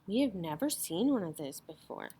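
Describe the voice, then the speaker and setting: A woman's voice: lowish voice, sing-song, normal speed, mellow, slight background noise. A woman voicing a hungry, boastful yet naive monster bathtub in a theatrical storybook reading. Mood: solemn, naive.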